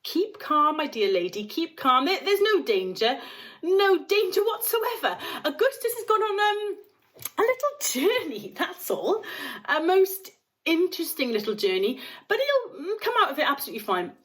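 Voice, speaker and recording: Woman playing an excitable high-voiced eccentric chocolate maker. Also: normal speed, exaggerated voice. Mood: blithely soothing. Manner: exclamatory, emphatic, repeats himself.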